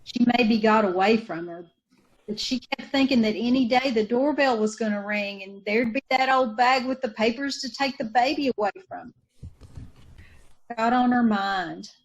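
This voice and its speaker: A woman's voice: on the high side, moderate pace, muffled recording, natural voice. A woman acting a grieving, bitter sister in an old-time radio drama, with plain folksy speech and repeated phrases. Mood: bitter, weary. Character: grieving, bitter sister of a committed young mother.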